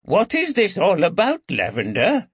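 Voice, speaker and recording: A male narrator acts a fussy, pompous magistrate in a period audiobook, nervous and indignant. The voice is pushed up higher than his own. The sound is dry and slightly muffled. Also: huge pitch swings, normal speed, fairly bright tone, husky, no room sound, heavily acted. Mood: queasy alarm.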